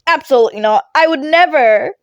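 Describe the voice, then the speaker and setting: A woman's voice: high voice, brisk, dry recording, muffled recording, voice pushed up. A woman voicing a cheeky, playful teenage boy in a fan fiction reading, with an insistent, teasing manner. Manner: bright, teasing, insistent, emphatic. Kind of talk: podfic character voice.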